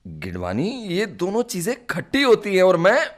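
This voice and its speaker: A man's voice: sits high, huge pitch swings, moderate pace. An actor playing an ailing, plaintive man with a cough in a Hindi radio play. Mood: doubtful, complaining. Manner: plaintive, exasperated. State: coughing, ill. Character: ailing, grumbling man doubting his friends' home remedies.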